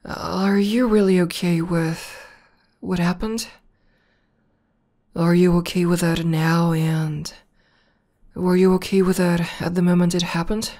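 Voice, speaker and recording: Tomboy demon girlfriend for ASMR roleplay, female performer: low, even, soft and hesitant, shy but devoted. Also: slow. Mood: tender, vulnerable. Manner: soft, hesitant, bashful, breathy dips into whisper.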